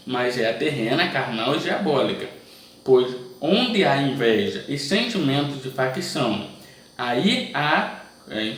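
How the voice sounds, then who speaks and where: A man's voice: on the high side, fairly bright tone, a little hiss or hum. Echoey, distant male Bible commentator. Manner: reads verses aloud, then comments with frequent "né?" asides. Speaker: preacher reading scripture. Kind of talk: informal Bible reading and commentary. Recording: distant mic, very echoey room.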